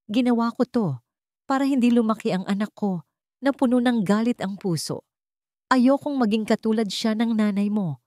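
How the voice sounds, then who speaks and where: A woman's voice: on the high side, moderate pace, darkish tone, no echo, voice pushed up, close to an everyday voice. A woman narrator plays a steadfast, forgiving Filipino mother in a radio drama. She speaks earnestly and directly, with firm tenderness, in a close, dry recording. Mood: resolute.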